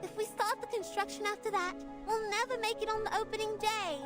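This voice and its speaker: Worried young game girl, very high voice, loud game music behind. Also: steady tone, brisk. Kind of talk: game voice acting.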